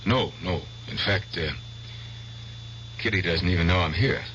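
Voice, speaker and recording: Earnest doctor, deep forceful voice, measured and probing, polite, radio drama, muffled old recording. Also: male voice. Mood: polite, careful. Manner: hesitant, formal, careful. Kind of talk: 1970s radio drama acting. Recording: dull, faintly noisy old radio recording.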